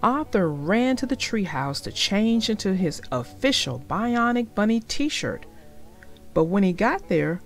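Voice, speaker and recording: A woman narrating a children's storybook as a read-aloud, in an expressive, unhurried storytelling style. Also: mid-range voice, faint music behind.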